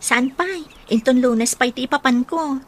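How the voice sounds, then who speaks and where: An actress as a high-voiced, friendly college girl. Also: unhurried. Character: friendly, informal young female university student. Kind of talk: radio drama acting.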